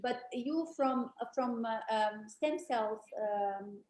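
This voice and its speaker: A woman moderating a conference panel, chatty and gracious, often pausing with fillers. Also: fairly high pitch, echoey room. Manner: warm, chatty, many fillers.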